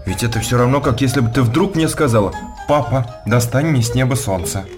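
A man's voice: medium pitch, brisk, natural voice. An actor playing a devoted, anxious father in a radio play, speaking earnestly and tenderly. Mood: tender, helpless. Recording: music under the voice.

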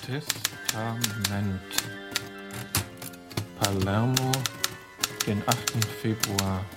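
An actor playing a writer who slowly reads out formal letters and documents in a radio drama. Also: male voice, on the low side, fairly even intonation, loud music behind the voice. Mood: formal, deliberate. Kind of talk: slow scripted reading of letters and documents.

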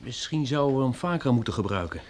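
Acteur als levendige, volhardende meedenker. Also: male voice, mid-range voice, lively intonation, quick pace, darkish tone, lightly acted. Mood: suggesting. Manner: tentative but insistent, reasoning aloud. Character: persistent male housemate offering eager practical suggestions. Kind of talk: radio drama acting.

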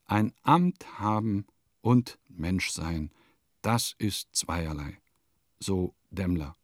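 Slow, theatrical old-world diarist. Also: male voice, low-pitched, animated, no echo, clean studio sound, full character voice. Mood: wry, declamatory. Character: wry, theatrical male diarist of bygone small-court provincial life. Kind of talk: literary radio play monologue.

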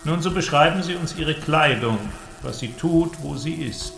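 Confident inventor, raspy creaky voice, echoey radio drama with music. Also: male voice, a little high, moderate pace, a bit bright. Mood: brisk, assured. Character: confident male inventor presenting his android creation. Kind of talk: German radio drama acting. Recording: roomy echo, music behind.

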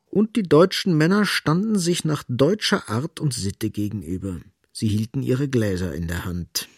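A man narrating a literary radio play in an observant, expressive manner, with a slightly husky voice. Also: medium pitch, moderate pace. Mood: observant, measured.

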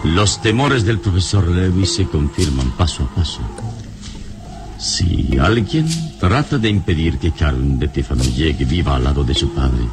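An actor playing a heroic, resolute adventurer in a radio serial, speaking in a solemn, theatrical, deliberate way. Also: male voice, a bass voice, full character voice. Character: heroic male adventurer, calm, noble, resolute investigator. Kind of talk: Spanish-language radio serial acting.

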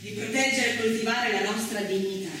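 A woman's voice: on the high side, steady tone, brisk, a little hiss or hum, natural voice. An actress playing a wronged woman in a theatre monologue about love turned violent, declamatory and emotionally intense. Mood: solemn, resolute. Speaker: stage actress. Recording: echoey distant stage recording with loud music.

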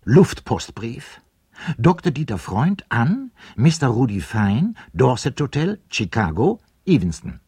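Radio play letter announcer, husky, mellow, lively intonation, drawn-out whimsical recitation, close dry sound. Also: male voice, medium pitch, no echo, exaggerated voice. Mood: wry, formal. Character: male announcer of letters and their addresses.

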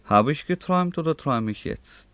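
A man's voice: on the high side, lively intonation, fairly quick, a bit bright, no room sound. A man acting a proud, guarded young nobleman in a radio play, with a wry and evasive manner. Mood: astonished. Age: young adult.

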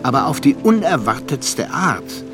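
A thoughtful storyteller in a radio play. His mid-pitched voice moves expressively through measured literary sentences. Music runs behind him. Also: very animated. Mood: reflective, grave. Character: reflective, measured male narrator recounting a friend's fate. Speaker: narrator.

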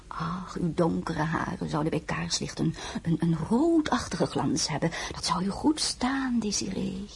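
An actress playing a worldly, mature French empress, vain and shrewd, with a languid, coaxing delivery in an audio drama. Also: female voice, on the low side, animated, unhurried, creaky, a little hiss or hum. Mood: coquettish, advising. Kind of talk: acted audio drama dialogue.

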